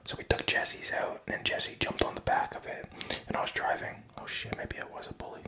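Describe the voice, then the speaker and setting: A young man whispering a rambling personal story, profane and self-mocking. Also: a little fast.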